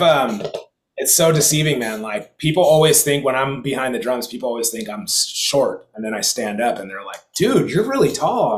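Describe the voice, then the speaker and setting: A man hosts a loose group conversation over a video call. He rambles, trails off into fillers and laughs easily, at an ordinary middle pitch. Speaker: host and drummer. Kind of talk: casual group chat.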